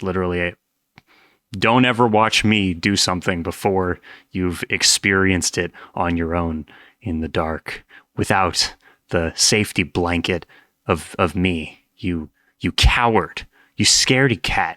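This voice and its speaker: A man with a low-pitched voice gives casual reaction commentary. He is playful and joking, teasing his viewers in mock scolding. The sound is dry, with very little room in it. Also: a little slow, fairly bright tone, dry recording. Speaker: host reacting to horror videos.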